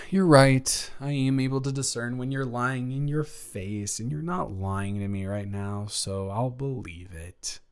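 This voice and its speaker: A man playing a smitten, teasing young man in an improvised romantic audio roleplay, speaking in a bantering, halting way. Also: medium pitch, lightly acted. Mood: tender, teasing. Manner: bantering, halting, with stammers and breathy laughs. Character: smitten, teasing young man confessing love to his best friend.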